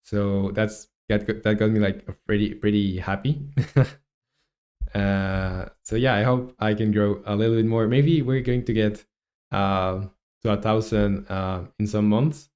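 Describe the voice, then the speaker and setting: A man's voice: lowish voice, steady tone, a little slow, darkish tone. A young man from Chile in his twenties, chatting casually while he works on a game and reads chat aloud, with lots of fillers and restarts. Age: 20s. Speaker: game dev streamer.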